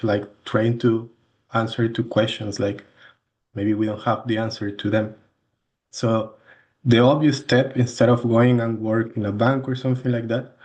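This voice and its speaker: A man's voice: medium pitch, a little mellow. A man in his thirties from Mexico, a native Spanish speaker with a Mexican accent, introducing himself as a university lecturer in a conversational way, often correcting and repeating himself. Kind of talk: course introduction video.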